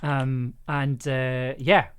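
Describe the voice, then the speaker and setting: Male audio producer chatting on a livestream, mid-pitched, enthusiastic, loose and rambling. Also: little pitch movement, drawn-out words, background noise. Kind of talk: casual livestream chat.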